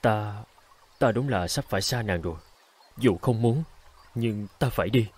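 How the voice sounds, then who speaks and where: A man's voice: fairly low pitch, moderate pace, a little mellow, breathy edge. A man voicing a lovesick young village man in a cartoon, sorrowful and hesitant. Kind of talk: cartoon voice acting.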